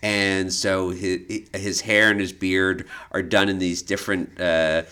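A man with a low-pitched voice talks as a podcast co-host. He explains things conversationally, in a measured way, pausing and hesitating as he goes. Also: little pitch movement, sharp tone, smooth. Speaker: podcast co-host, art expert.

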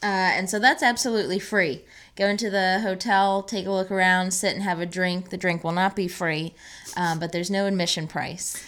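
A woman's voice: lowish voice, steady tone, moderate pace, a bit bright. A woman co-hosting a podcast in a casual, chatty style, conversational and given to playful asides. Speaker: podcast co-host, archives manager.

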